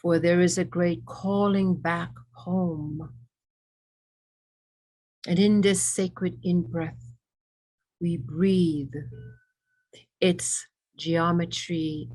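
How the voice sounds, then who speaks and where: A woman leading a guided meditation with ASMR elements, speaking reverently in short, measured phrases with pauses between them. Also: fairly low pitch, steady tone, a little mellow, poor audio.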